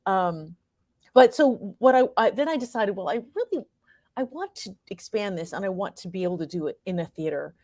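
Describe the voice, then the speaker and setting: A woman's voice: medium pitch, lively intonation, a little fast, dark tone, no echo, studio quality. A woman answering interview questions as a playwright and performer, conversational and reflective, often searching for words.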